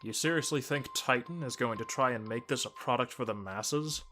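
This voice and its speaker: A level-headed, skeptical researcher who meets every idea with dry questions, as a woman acts her out. The voice sits remarkably low. A music score plays behind her. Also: moderate pace, a bit bright. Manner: dry, questioning, matter-of-fact. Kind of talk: fan audio drama acting.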